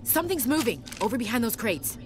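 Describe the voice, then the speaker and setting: A woman's voice: on the high side, big pitch swings, quick pace, noisy background. An actress playing an earnest, uneasy woman in a tense video game scene. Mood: alert. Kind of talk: video game cutscene acting. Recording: game audio with music underneath.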